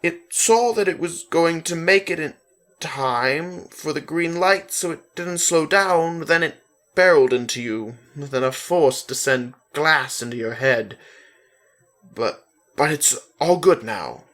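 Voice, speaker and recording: A reader voicing a boy's emotional father, halting and reassuring, in a dramatic story reading. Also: medium pitch, animated, a bit bright, pitched above the performer's own voice. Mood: nervous, explaining. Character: boy's emotional father, relieved, nervous, soothing.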